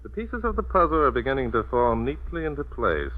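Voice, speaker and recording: An actor playing an astute, courteous, composed detective with formal phrasing in an old-time radio mystery. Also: male voice, medium pitch, very animated, lightly acted. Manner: articulate, formal, deliberate wording. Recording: dull vintage radio recording.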